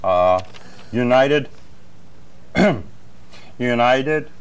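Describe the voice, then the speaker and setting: Man playing a slow, heavily stuttering aggrieved guy. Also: mid-range voice, lively intonation. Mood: halting, earnest. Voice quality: heavy stutter, frequent throat clearing. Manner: halting, repeating words, earnest. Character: stuttering, aggrieved fired air traffic controller. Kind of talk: deadpan comedy interview.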